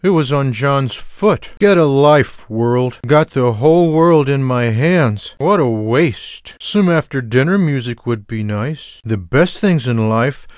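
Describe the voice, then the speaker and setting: A lazy, food-loving cartoon house cat for a video game. He speaks at a slow, unhurried pace in a middling male pitch, tossing out sarcastic remarks in a deadpan way. The sound is dry, with no room around the voice. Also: no room sound. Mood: dry, droll. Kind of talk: scripted video game character lines.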